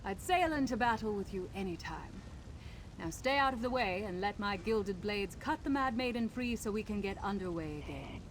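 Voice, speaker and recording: A woman voicing a brisk, confident mercenary ship captain in a video game. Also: medium pitch, a little hiss or hum, natural voice. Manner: commanding, brisk. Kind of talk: video game dialogue acting.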